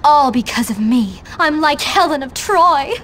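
High-voiced melodramatic teenage temptress. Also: female voice, expressive, measured pace, a bit bright, a little hiss or hum. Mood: boastful, dramatic. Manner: melodramatic, goading. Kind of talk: video game cutscene acting.

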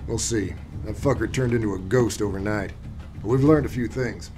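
Casting: male actor as gruff, low-pitched special-ops boss, calm authority, clipped slang, game briefing over music. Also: natural voice. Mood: calm, firm. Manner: terse, measured, slangy, authoritative. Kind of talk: dramatic game voice acting.

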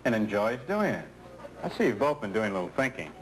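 An actor playing a seasoned, folksy American coworker giving persuasive advice in a 1970s training film. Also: male voice, on the low side, big pitch swings, quick pace, low-quality recording. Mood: easygoing, persuasive. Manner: colloquial, persuasive, rhetorical questions. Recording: 1970s film soundtrack, faint noise.

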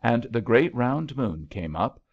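A man's voice: mid-range voice, big pitch swings, measured pace, vocal fry. A man reading an old-fashioned love story aloud as a scripted audiobook narration. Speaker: audiobook reader. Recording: slightly dull, lo-fi audiobook recording.

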